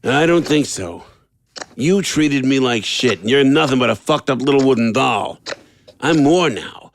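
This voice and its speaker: An actor playing an unhinged, resentful and cruel man with a gravelly voice and a sardonic manner in a horror audio drama. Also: mid-range voice, animated, unhurried, dry recording. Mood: vengeful gloating. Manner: sardonic, erratic swoops.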